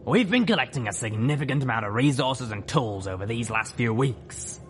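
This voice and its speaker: A man's voice: mid-range voice, lively intonation, normal speed, slight background noise, lightly acted. Man as a firm, weary griffin mother. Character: gruff, weary griffin mother, mercenary leader.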